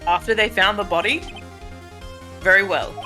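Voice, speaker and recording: A woman's voice: medium pitch, steady tone, bright, forceful tone, lightly acted. Woman playing a formal courtroom judge over music. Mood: puzzled, formal. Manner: formal, deliberate. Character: mild, formal, easily puzzled courtroom judge. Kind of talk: game fan dub acting.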